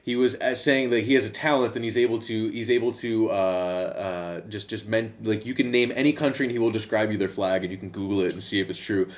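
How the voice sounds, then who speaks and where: A man's voice: on the low side, a little fast, hardly any pauses. A young man hosting a casual podcast, rambling with many restarts and casual swearing. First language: English. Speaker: podcast host. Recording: slightly dull lo-fi sound.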